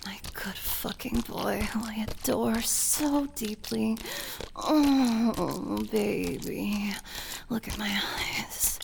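A woman playing a possessive, adoring elven princess in an intimate adult audio roleplay, breathy and moaning, often dropping into whispers. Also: medium pitch, a little mellow, slight background noise, heavily acted.

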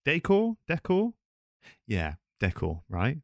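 A man's voice: medium pitch, huge pitch swings, slow pace, vocal fry, no room sound, studio quality, heavily acted. A man playing a chatty, nervous voice actor, talking casually to a visitor in a self-conscious, hesitant, easily flustered way. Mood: flustered, defensive. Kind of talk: casual conversational audio roleplay addressed to listener.

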